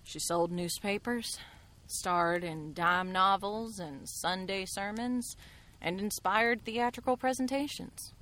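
An actress playing an enigmatic, worldly woman mystic in a radio drama, skeptical and knowing, with deliberate, eloquent, theatrical phrasing. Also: mid-range voice, fairly bright tone. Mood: pointed, wry.

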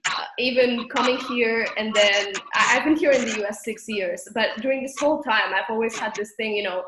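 Woman, Spanish accent, interview guest, slightly high voice, echoey room, background noise. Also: brisk. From Spain. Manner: animated, laughs often while talking. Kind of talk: conversational interview.

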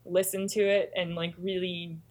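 A woman's voice: fairly low pitch, level tone, normal speed, a little mellow. A young woman co-hosting a podcast, giving her views on music in an informal, conversational way. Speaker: podcast co-host. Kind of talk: casual podcast chat about music.

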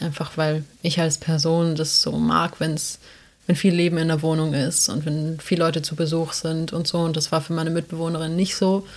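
A young woman from South Tyrol with a South Tyrolean German accent, chatting casually on a podcast, rambling with many fillers. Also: deep voice. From South Tyrol, Italy. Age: young adult. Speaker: podcast co-host. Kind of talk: casual chat.

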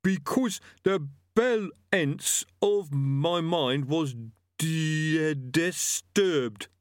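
A man voicing the dim, aggrieved ghost of a murderer in an audiobook, with a halting, self-pitying whine. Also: on the high side, lively intonation, dry recording, studio quality, pitched above the performer's own voice.

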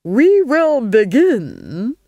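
A woman voicing an imperious, sadistic duchess in a storybook, haughty, drawn-out and menacing. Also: a little high, huge pitch swings, warm tone, no echo, dull audio, pitched above the performer's own voice, exaggerated voice. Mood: gloating menace.

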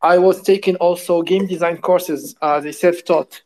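A man from Morocco with a fairly high voice speaks as a guest in an interview. He rambles through his answers, full of fillers and restarts. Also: steady tone, normal speed, a little mellow, low-quality recording. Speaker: guest, game studio co-founder. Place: at home, lives in France.

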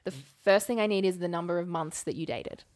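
Female podcast co-host, slightly low register, casual and playful with a mock-serious streak. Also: fairly quick, darkish tone. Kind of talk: casual comedic chat, working through a formula aloud.

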